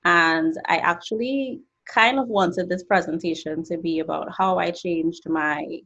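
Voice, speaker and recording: A woman in her twenties from Trinidad and Tobago, giving an earnest, explanatory talk about her work over a video call from her home studio. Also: lowish voice. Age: 20s. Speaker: guest artist. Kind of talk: presentation talk. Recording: dull lo-fi call audio.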